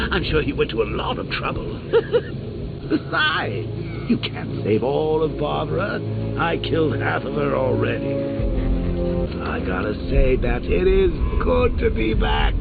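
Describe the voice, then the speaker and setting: Gravelly, sadistic clown villain, leisurely and mocking, swooping wildly in pitch; tinny game audio with music behind. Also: male voice, full character voice. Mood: gloating. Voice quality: gravelly, mocking, swooping sing-song delivery. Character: manic taunting male clown supervillain, sadistic, theatrical. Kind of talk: video game villain voice lines.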